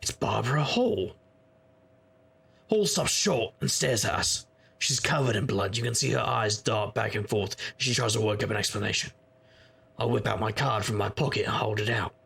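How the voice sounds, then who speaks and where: An actor playing a sardonic, world-weary private investigator, narrating and talking in a dry deadpan noir style. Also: male voice, fairly low pitch, normal speed, gravelly, breathy edge, exaggerated voice. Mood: wry, tense. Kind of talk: noir audio drama, first-person narration and dialogue.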